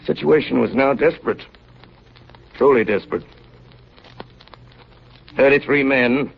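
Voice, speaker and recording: An actor playing a grave chief engineer in solemn, measured 1930s radio drama style, recounting an Arctic disaster. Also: male voice, medium pitch. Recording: muffled, noisy 1930s radio broadcast recording.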